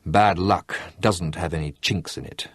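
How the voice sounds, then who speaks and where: Man doing a bitter fatalistic captain, gruff, low voice, dry close sound. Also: a little slow, dry recording.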